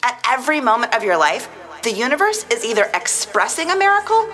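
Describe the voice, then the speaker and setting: A woman's voice: a little high, very animated, a little fast, pushed and bright, soft background music. A woman co-hosting a podcast, speaking in a theatrical, emphatic and sardonic way. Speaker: podcast co-host. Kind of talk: mock-dramatic reading of tweets, mixed with casual chat.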